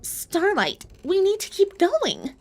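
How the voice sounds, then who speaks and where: A woman's voice: very high voice, wildly swooping pitch, takes it slowly, pitched above the performer's own voice, full character voice. A woman voicing an anxious female pony magician in a storybook reading, questioning and uneasy. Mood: anxious pleading. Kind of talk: dramatic storybook character reading.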